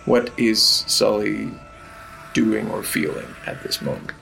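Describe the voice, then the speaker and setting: A man narrating a tabletop game as game master, halting with fillers, over faint music. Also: lowish voice, gravelly, fairly bright tone, rough recording.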